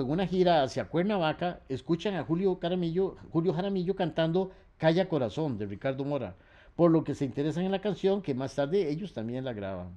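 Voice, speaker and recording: Mellow-voiced man hosting a music show. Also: fairly high pitch, dull audio. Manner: reads facts in long runs, trails off with fillers. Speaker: radio host and researcher. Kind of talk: relaxed radio talk about music history.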